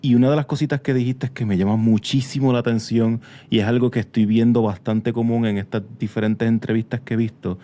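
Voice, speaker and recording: A man hosting a casual interview podcast, telling things in an animated way and mixing in English words. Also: mid-range voice, fairly quick, few pauses. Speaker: podcast host.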